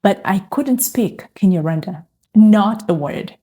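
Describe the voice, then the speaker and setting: Woman, middle-aged, unhurried delivery, mellow tone, earnest and reflective testimony. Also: mid-range voice, lively intonation, husky. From Uganda. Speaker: faith and lifestyle vlogger. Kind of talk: personal testimony to camera.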